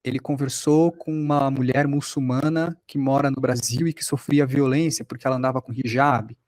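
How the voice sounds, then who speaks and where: A Brazilian man leads an informal online class as a workshop instructor. His voice sits at an ordinary male pitch and is captured very dry, with little room sound. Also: steady tone, normal speed, a little mellow, no echo. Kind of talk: informal online lecture with examples.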